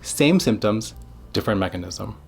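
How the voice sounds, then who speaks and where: A man giving scripted educational narration as a psychiatrist, speaking in short, deliberate, emphatic phrases. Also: lowish voice, lively intonation, gravelly, soft background music. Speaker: psychiatrist presenting an explainer video.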